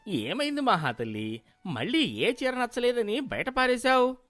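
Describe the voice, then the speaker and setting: A woman's voice: a little high, sing-song, measured pace, a bit bright, no room sound. A woman voicing a weary, placating village husband who coaxes with mild exasperation in a storybook tale. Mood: exasperated, soothing. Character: weary, placating rich husband, middle-class village master.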